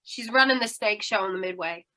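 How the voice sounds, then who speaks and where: A woman playing a tough, suspicious aging carnival woman in radio noir style, brassy and slangy with a sharp voice. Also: medium pitch, brisk, close to an everyday voice. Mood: gruff, wary. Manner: brassy, clipped slangy talk. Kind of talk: 1940s radio noir acting.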